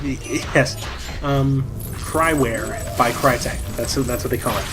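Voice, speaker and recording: A man's voice: medium pitch, unhurried. A man hosting casual gaming commentary with a wry, hesitant way of talking. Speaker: Let's Play host. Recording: music playing behind the voice. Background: video game music.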